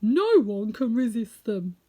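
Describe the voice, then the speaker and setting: A woman's voice: a little high, very animated, slow pace, mellow, heavily acted. A woman voicing a big, bruised, resigned lion in a storybook, speaking emphatically.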